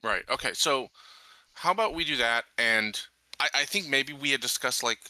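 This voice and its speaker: A man's voice: medium pitch, animated, normal speed, sharp tone, no room sound, low-quality recording. A man playing a tabletop roleplaying game, talking casually and a little hesitantly as he works out plans.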